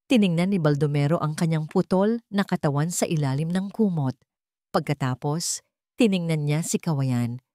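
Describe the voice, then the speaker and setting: A woman's voice: deep voice, normal speed, warm tone, no echo, clean studio sound. A woman reading a scripted dramatic story as a storyteller.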